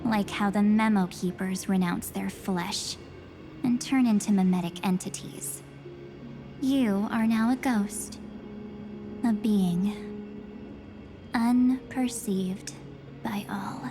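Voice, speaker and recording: A woman voicing a calm, mysterious female double who lives inside another girl's memories, speaking slowly in a hushed, measured way. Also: medium pitch, over music. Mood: somber. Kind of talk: video game cutscene voice acting.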